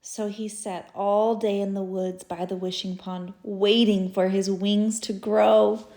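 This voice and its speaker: A woman reading a children's story aloud in an expressive, deliberate storytelling style. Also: mid-range voice. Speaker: storybook reader, teacher. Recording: roomy echo.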